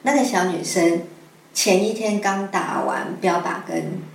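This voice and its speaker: A woman's voice: on the low side, animated, measured pace, gravelly. A woman with a Taiwanese Mandarin accent, speaking as an interview guest, explains things conversationally and hesitantly, piecing her thoughts together as she goes. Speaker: guest scriptwriter. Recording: echoey room with faint noise.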